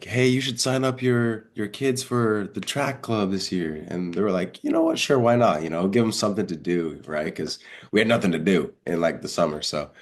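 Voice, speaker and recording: A congested young man chatting casually as an interview guest, telling stories in informal slang and acting out remembered dialogue. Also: deep voice. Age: young adult. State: congested, under the weather. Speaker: guest, college high jumper.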